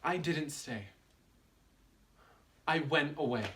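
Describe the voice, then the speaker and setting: A man performing a monologue as a hesitant, apologetic woman who has come back home, speaking haltingly. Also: a little high, sharp tone, distant mic. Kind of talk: audition monologue.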